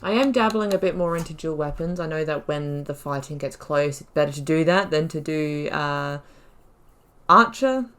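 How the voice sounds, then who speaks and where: A woman's voice: low voice, moderate pace, a bit bright, clear, resonant tone. A woman giving casual let's play commentary, chatty and musing, thinking aloud as she plays. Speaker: gaming YouTuber playing through a role-playing game.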